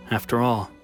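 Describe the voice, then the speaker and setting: An actor playing a world-weary former dreamer in a video game, earnest and wistful. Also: male voice, mid-range voice. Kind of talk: dramatic game dialogue acting. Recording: prominent music behind the voice.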